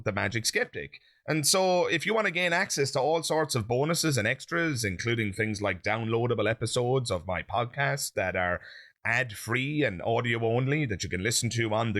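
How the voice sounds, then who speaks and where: A man's voice: medium pitch, pushed and bright. Male host, chatty direct-to-camera commentary, chesty and sniffly from an infection. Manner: chatty, direct address to viewers. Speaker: YouTube channel host. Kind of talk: opinion commentary monologue.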